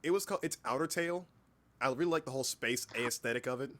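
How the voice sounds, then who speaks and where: A man's voice: mid-range voice, quick pace, a bit bright. A man hosting a casual livestream chat, speaking informally, with repeated words and occasional swearing. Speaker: livestream host and hobby voice actor.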